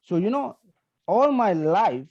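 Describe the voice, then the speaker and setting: A man's voice: high voice, big pitch swings, slow, a little mellow, lo-fi sound. Brash Indian-accented man doing stand-up. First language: Hindi and Punjabi. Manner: brash, profane, storytelling. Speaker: stand-up comedian. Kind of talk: stand-up set.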